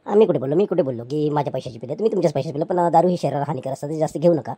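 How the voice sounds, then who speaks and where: A woman's voice: low-pitched, racing, mellow, husky, dry recording. A woman voicing a poor, meek male chair seller who pleads and placates with stammering restarts. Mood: placating.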